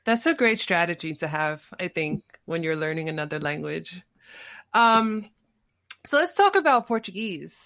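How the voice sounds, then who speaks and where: A woman's voice: fairly low pitch, sing-song, normal speed, fairly bright tone, no echo. A woman hosting a podcast asks her guests questions in an easygoing, conversational way and affirms what they say. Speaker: podcast host. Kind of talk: casual interview chat.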